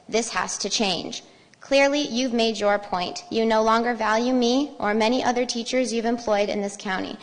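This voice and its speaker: An American woman, a teacher, delivering a prepared public speech in a firm, indignant manner. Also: a little high. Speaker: school teacher. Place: public meeting room. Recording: reverberant public meeting hall.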